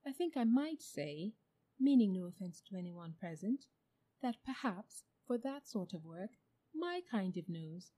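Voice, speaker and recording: Woman playing a measured, pompous storybook she-elephant. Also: medium pitch, big pitch swings, mellow. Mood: genteel. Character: dignified, thoughtful she-elephant, mild and self-important. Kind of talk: storybook character voice.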